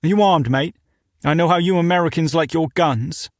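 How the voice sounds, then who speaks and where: A man voicing a hostile, armed male store owner with a British accent, talking loudly and threateningly. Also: fairly high pitch, moderate pace, no echo, clean studio sound, voice pushed up, lightly acted. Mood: suspicious. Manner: loud, threatening. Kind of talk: audiobook character voice.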